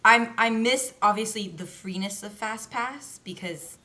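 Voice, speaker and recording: A woman's voice: medium pitch, a little slow, pushed and bright. A young woman co-hosting a casual podcast, chatty and opinionated, often laughing as she talks. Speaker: podcast co-host. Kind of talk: casual chat.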